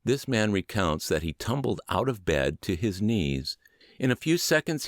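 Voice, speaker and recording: A middle-aged man with a lowish voice narrates an audiobook in an earnest, measured way. His intonation moves expressively up and down. The sound is dry and close to the microphone. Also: no room sound. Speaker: podcast narrator reading a book aloud.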